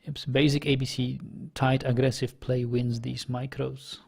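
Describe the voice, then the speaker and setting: A man's voice: medium pitch, level tone, moderate pace, gravelly, a little mellow, slightly rough. A young man with a Czech accent, a native Czech speaker, thinking aloud as he commentates his online poker play and reads chat, hesitant and full of fillers. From Czech Republic. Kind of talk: casual commentary while playing and reading chat.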